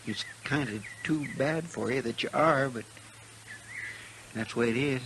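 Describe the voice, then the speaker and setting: A man's voice: medium pitch, creaky, a bit bright. A man playing an earnest, loyal cowtown sidekick in a 1950s western radio drama, with a drawling rural American western accent. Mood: sombre, earnest. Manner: drawling, plain-spoken, deferential. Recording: noisy 1950s radio recording, outdoor sound effects.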